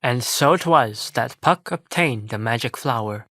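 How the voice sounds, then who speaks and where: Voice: medium pitch, expressive, a little slow, fairly bright tone, no echo, clean studio sound. An actor playing a storybook narrator of a fairy tale, in scripted stage narration. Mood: narrating.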